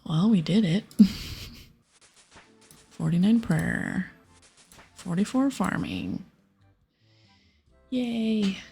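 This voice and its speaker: Whispery, breathy woman doing gaming ASMR. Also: medium pitch, expressive, extremely slow, long pauses, warm tone, soft background music. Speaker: host of a gaming video series. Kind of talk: ASMR game playthrough, softly spoken and whispered.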